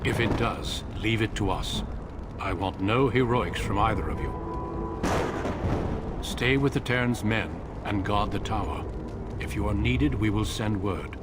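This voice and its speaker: An actor playing a grave, steady warrior commander in a video game, speaking in a measured, solemn, formal way. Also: male voice, lowish voice, heavy background noise, close to an everyday voice.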